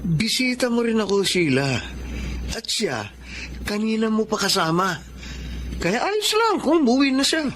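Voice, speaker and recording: Brash young Filipino rival: high male voice, very animated, noisy radio soap scene. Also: unhurried, long pauses, full character voice. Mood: pushy, sulky. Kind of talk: Filipino radio drama acting.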